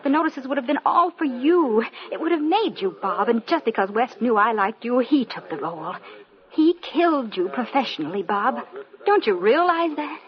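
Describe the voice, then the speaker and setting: A woman's voice: fairly high pitch, sing-song, moderate pace. An actress playing a resentful young woman goading her boyfriend, insistent and melodramatic, in old-time radio style. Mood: bitter, goading. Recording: dull vintage radio recording.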